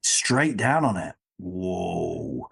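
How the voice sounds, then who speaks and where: Low-voiced, rambling man hosting a podcast. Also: normal speed. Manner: rambling, thinking aloud, self-questioning. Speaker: podcast host. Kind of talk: casual comic-book discussion.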